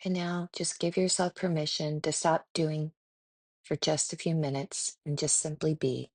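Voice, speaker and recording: Low-voiced woman guiding a meditation. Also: little pitch movement, no room sound. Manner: gentle, soothing, unhurried with pauses. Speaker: guided meditation host. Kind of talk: guided meditation narration.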